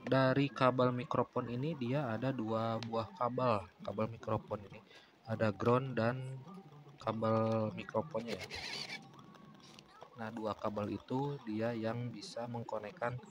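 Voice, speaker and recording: A man's voice: mid-range voice, level tone, a little slow, background noise. A man narrating a casual DIY tutorial in an explanatory way, often repeating phrases. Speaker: DIY vlogger.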